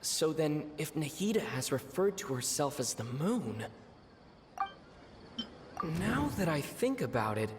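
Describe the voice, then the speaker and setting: Slow, pensive young traveler, reverberant. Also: male voice, on the high side, very animated. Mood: pondering. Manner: deliberate, musing, hesitant. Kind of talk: anime video game voice acting. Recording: long reverb, clearly noisy game audio.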